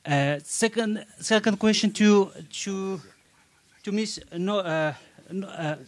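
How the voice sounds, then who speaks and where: A man asking a panel questions, halting often with "uh" as he searches for words. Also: high-pitched, no echo. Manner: halting, many "uh" pauses. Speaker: audience questioner.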